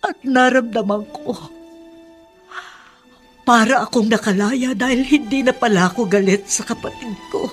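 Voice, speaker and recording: Casting: actress as forgiving Filipina maiden aunt, middle-aged, slightly high voice, pleading radio-drama delivery over music. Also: female voice, moderate pace, long pauses, close to an everyday voice. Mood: earnest, pleading. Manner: earnest, gently persuasive.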